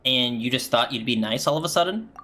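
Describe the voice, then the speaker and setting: A man's voice: mid-range voice, animated, fast, bright, forceful tone. A man giving casual, rambling, laid-back commentary as he streams a game. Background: game music.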